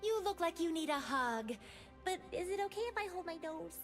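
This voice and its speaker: Young female game mage, high pitch, animated intonation, playful, sarcastic, witty, music behind. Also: normal speed. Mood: gently teasing. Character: cheerful, sarcastic young woman mage hero. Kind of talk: video game character voice lines.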